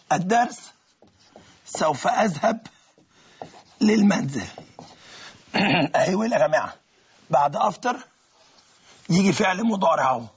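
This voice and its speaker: Man, Egyptian Arabic accent, high voice, raspy, teacher explaining grammar phrase by phrase. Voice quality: husky, gravelly voice with frequent throat-clearing. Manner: step-by-step explaining, translating each phrase, addressing the class. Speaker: English teacher.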